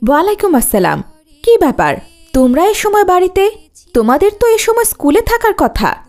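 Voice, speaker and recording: Very high, animated cartoon mother played by a woman. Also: moderate pace, heavily acted. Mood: worried. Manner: concerned, admonishing. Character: worried, scolding but loving mother. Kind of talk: cartoon dialogue.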